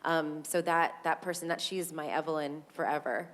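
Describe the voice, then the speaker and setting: An earnest woman speaks on a theater panel in a slightly low voice. She is reflective and pauses to hesitate now and then. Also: steady tone. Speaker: Broadway cast member. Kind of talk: panel talkback.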